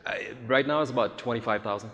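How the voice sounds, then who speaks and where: A man in his forties from India speaks as a guest on stage. His voice is mid-pitched, earnest and a little halting as he restarts phrases. It carries through a reverberant hall. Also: fairly bright tone. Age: 40s. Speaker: real estate agent, guest speaker. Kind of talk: on-stage interview answers. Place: large event hall with audience. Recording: echoey hall with faint noise.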